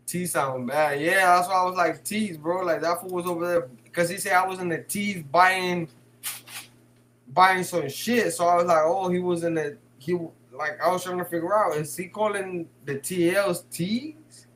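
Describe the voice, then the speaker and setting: Brief: Bay guy hosting a live stream, slangy, cussing, a bit high-voiced, light hum behind. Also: steady tone, normal speed, fairly bright tone, low-quality recording. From San Francisco Bay Area. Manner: slangy, swears, laughs a lot. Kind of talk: casual live chat reading comments.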